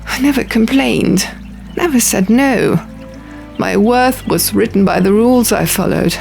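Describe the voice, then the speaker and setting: Woman as an earnest, high-voiced young diviner. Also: measured pace, vocal fry, darkish tone. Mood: hurt, pleading. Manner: hesitant, earnest, plaintive. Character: young female diviner, earnest, lost, self-doubting. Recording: music behind the voice.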